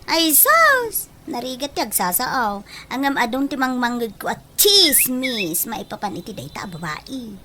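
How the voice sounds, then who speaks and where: Woman acting a shrill, animated village gossip. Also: unhurried, few pauses. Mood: scandalized, gossipy. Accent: Ilocano. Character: brash, sharp-tongued village gossip. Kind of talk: Ilocano comedy radio drama acting. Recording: noisy background.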